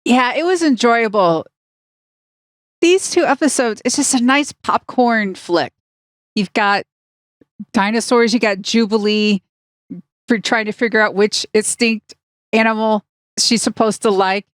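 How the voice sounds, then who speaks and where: A woman's voice: on the high side, measured pace, pauses often, no echo, clean studio sound. A woman co-hosting a podcast, giving wry, opinionated takes in a casual review chat. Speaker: podcast co-host. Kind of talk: casual review discussion of a cartoon.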